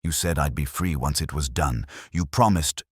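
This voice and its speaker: A man's voice: very deep voice, moderate pace, breathy edge, no echo, studio quality. A man voicing a frightened, desperate underling in a mystery audiobook, shaken and pleading as he speaks. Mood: pleading fear.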